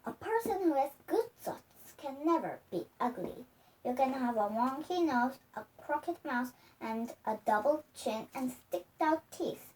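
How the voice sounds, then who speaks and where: A young girl reading a storybook aloud in a careful, halting way. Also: high voice, very animated, darkish tone. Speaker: reader. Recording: distant microphone.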